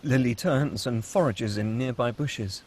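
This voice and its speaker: A man's voice: medium pitch, unhurried, a little mellow, slight background noise. A man reading screenplay stage directions aloud as the narrator at a table read.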